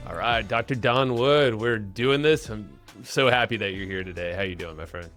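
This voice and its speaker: A man's voice: on the low side, normal speed, fairly bright tone, faint music behind. A man hosting a podcast interview, upbeat and chatty, often repeating words as he starts sentences. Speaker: podcast host. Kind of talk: casual podcast interview with ad read.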